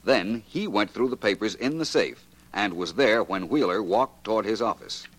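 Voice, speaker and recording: An American man playing a shrewd, wry detective in a 1940s radio drama, speaking deliberately and with self-assurance. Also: on the low side, animated, breathy edge. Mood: confident explaining. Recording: vintage 1940s radio recording.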